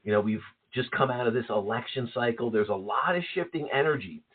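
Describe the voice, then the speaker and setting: Man, east coast american accent, husky low voice, phone-line sound, calm spiritual radio host. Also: a little fast. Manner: calm, reflective, repeats words when thinking. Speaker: co-host, psychic medium. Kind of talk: casual spiritual radio talk. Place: at home.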